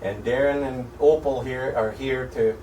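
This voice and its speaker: A man's voice: mid-range voice, steady tone, moderate pace, darkish tone, distant mic. An American man hosting informal internet radio, speaking in short, emphatic phrases. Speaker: radio host. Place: indoor broadcasting room. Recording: echoey room, off-mic, faint noise.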